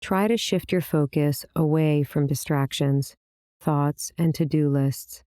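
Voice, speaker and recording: A woman with a low, smooth voice teaches guided meditation. Her delivery is calm and measured, with gentle instructions. The recording is dry and close, without room sound. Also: no echo. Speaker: meditation teacher, series host.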